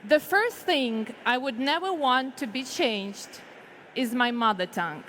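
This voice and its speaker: A Ukrainian woman in her thirties, whose first language is Ukrainian, giving a slow, deliberate keynote speech on a conference stage with pauses between short phrases. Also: fairly high pitch, lively intonation, fairly bright tone, slight background noise. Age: 30s. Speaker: foundation founder giving a keynote.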